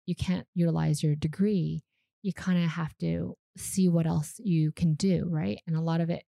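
Woman, 30s, from Canada, Vietnamese first language; deep, warm, slightly gravelly, dry close sound, casual storyteller. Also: no room sound. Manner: relaxed, chatty, frequent fillers and small laughs. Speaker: guest.

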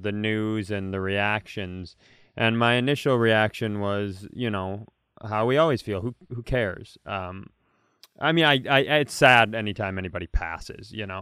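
Clear-voiced American man, dry close sound. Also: on the low side, a bit bright, no echo. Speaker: comedy podcast co-host. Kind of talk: casual conversational banter.

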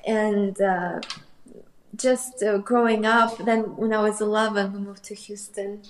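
A woman's voice: medium pitch, fairly even intonation, measured pace, a little hiss or hum. A woman from Russia, an artist guest, musing thoughtfully and hesitantly in a casual interview. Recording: roomy echoey sound.

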